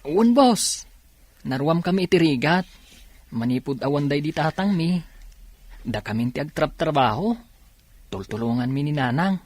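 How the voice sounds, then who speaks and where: A man's voice: fairly high pitch, expressive, normal speed, pauses often, a little mellow, no room sound. Man playing earnest young Filipino worker, dry sound. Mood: respectful. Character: earnest, hardworking young male factory worker and elder brother. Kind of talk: radio drama acting.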